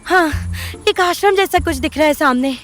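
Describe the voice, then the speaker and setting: A young woman played by an actress in an audio drama speaks in a very high voice. She is practical and urgent, with music running behind her. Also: moderate pace, a bit bright. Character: young woman searching for a missing friend. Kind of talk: audio story drama dialogue.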